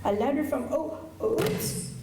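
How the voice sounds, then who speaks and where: Woman playing a coy, high-voiced frontier flirt, echoey. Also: measured pace. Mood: nosy. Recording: echoey auditorium with slight noise.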